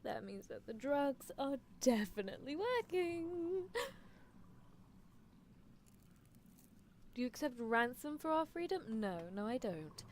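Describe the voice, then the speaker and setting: A woman acts out a teasing, obsessive mad-scientist girl in an ASMR roleplay. The voice sits fairly high and swings animatedly in pitch. The manner is coaxing, clinical and theatrically possessive, over faint background noise. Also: big pitch swings, normal speed. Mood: smugly possessive.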